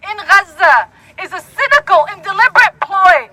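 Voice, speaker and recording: A woman speaks at a street rally with a very high, bright voice. She reads a firm, prepared statement in a steady, deliberate way. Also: a little hiss or hum, rough recording. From Puerto Rico. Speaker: protester. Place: outdoors on a city street.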